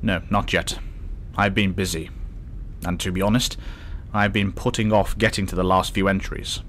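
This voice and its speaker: Guy doing starship doctor, deep voice, calm and thoughtful, sci-fi drama, faint rumbly noise. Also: moderate pace. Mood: reflective. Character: measured, thoughtful male starship doctor and confidant. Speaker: actor in a science-fiction fan drama. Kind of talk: dramatic dialogue.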